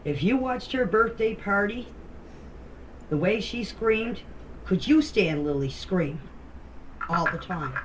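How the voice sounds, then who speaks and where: A woman's voice: mid-range voice, lively intonation, slow pace, long pauses. Blunt woman in noisy voice messages. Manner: defensive, blunt, emphatic. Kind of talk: informal recounting in voice messages. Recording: faintly noisy voice-message recording.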